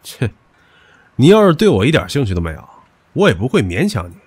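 A man's voice: medium pitch, wildly swooping pitch, brisk, a little mellow, slightly rough, no echo. A man playing a stubborn, possessive young suitor in a modern audio drama, talking in a cocky, crude, pushy way. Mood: taunting, demanding. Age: young adult.

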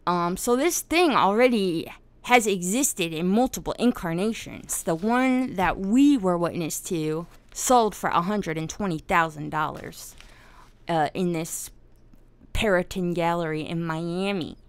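Swearing, animated woman host. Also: on the low side, unhurried, smooth, no echo. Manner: animated, swears often, laughs while telling story. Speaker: podcast host. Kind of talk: casual live-stream discussion.

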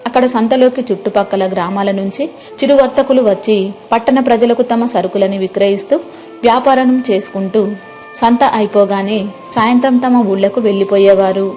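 Mid-pitched woman narrating a story. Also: moderate pace, warm tone, soft background music. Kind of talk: scripted narration.

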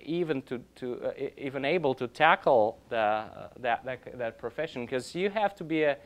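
A man in his thirties, an orchestra conductor, giving an informal lecture in a classroom, halting and restarting often but growing animated, recorded by a camera across the room. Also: mid-range voice, clean tone. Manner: halting, many restarts and fillers, grows animated. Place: classroom with projector.

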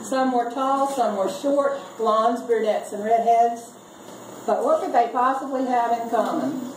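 A woman reads a humorous script aloud in an amused way, pausing deliberately between phrases. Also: fairly high pitch. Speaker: family reunion speaker reading a comic Mad Lib aloud. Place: garage full of seated relatives. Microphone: camera a few meters away. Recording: distant camera mic, echoey garage, loud noise.

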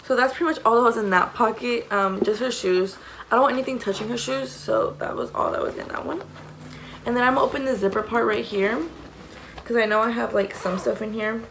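A young woman with a raspy voice, chatting casually as she shows the contents of a bag to the camera in a small room, rambling with many fillers. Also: fairly high pitch, fairly even intonation, a little fast, fairly bright tone, a little hiss or hum, poor audio. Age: young adult. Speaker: mom vlogger. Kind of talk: casual show-and-tell vlog. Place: small indoor room against a plain wall.

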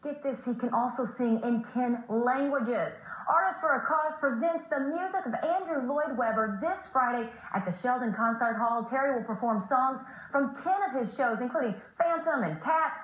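A woman's voice: sits high, animated, normal speed, hardly any pauses, reverberant, rough recording. An American woman narrating a scripted, upbeat local television feature as a reporter.

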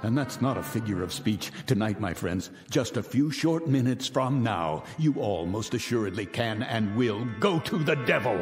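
An actor playing a bitter, vengeful millionaire in a radio drama, speaking with sardonic, icy taunts. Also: male voice, mid-range voice, lively intonation, normal speed, few pauses, in a large hall, music in the background, lightly acted. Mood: cold mocking. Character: bitter vengeful male millionaire, cold and mocking.

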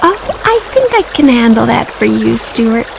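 A woman's voice: a little high, sing-song, unhurried, gravelly, background noise, exaggerated voice. A woman voicing a friendly, helpful little canary in a video game cutscene. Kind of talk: video game cutscene acting, giving instructions.

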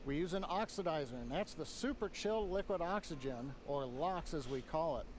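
A man giving live launch commentary, explaining the countdown in a measured, steady way. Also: fairly high pitch, a little hiss or hum.

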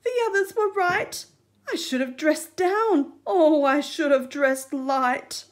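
Woman doing a high, showy storybook hermit crab, dramatic and declaiming. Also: slow. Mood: whimpering. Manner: theatrical, bold declaring. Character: flamboyant young male hermit crab.

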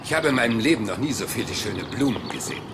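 A man's voice: medium pitch, lively intonation, fast, background noise, lightly acted. An actor playing a rumpled, polite police inspector in a crime radio play, apologetic and roundabout in his questions. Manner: apologetic, roundabout, mild and persistent.

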